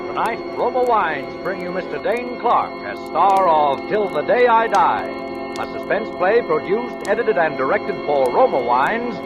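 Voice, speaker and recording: A man's voice: sits high, sing-song, unhurried. A man introducing a suspense play in 1940s radio announcing style. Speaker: program announcer. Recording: old radio recording, loud music behind.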